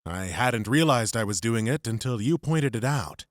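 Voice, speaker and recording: A man's voice: mid-range voice, lively intonation, moderate pace, no echo, clean studio sound, natural voice. A man playing an earnest, modest young warrior in a fantasy audiobook, speaking with a sincere, slightly sheepish manner. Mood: sheepish, wondering. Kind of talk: dramatized fantasy audiobook acting.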